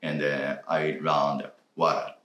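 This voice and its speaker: Very deep, slow Japanese-accented man. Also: little pitch movement, a bit bright, echoey room, highs cut off. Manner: halting, searching for English words. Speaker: co-host of a reaction channel. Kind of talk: casual chat.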